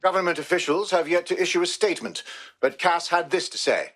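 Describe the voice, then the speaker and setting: A man's voice: high-pitched, brisk, heavy background noise, close to an everyday voice. A man playing a formal television news anchor reading a report in a video game.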